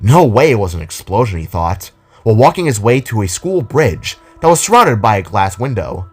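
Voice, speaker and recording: A man's voice: on the low side, sing-song, moderate pace, husky, soft background music. A man reading scripted story narration as the narrator of an audio drama.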